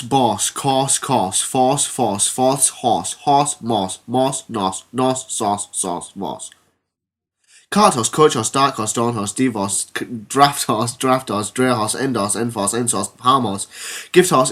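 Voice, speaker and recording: A man's voice: medium pitch, fairly bright tone. A teenage boy hosting a homemade comedy podcast, congested from a cold, telling an absurd story and chatting with hesitant, rambling ums. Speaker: podcast host. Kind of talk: comedy storytelling and casual chat.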